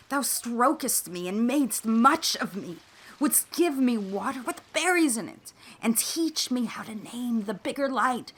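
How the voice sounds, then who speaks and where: An actress playing a bitter, defiant enslaved monster who curses emphatically in a Shakespearean audio drama. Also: female voice, fairly high pitch, pushed and bright, slightly rough, full character voice. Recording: noisy remote home recording.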